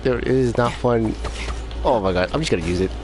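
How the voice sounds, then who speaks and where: Relaxed man giving game commentary. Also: medium pitch, brisk. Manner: relaxed, laughing, self-mocking. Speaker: streamer. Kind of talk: casual gameplay commentary. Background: game music.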